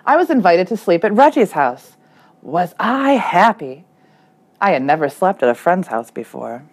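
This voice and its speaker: Expressive, lowish-voiced woman reading to children. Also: moderate pace. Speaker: third grade teacher. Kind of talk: expressive children's story read aloud.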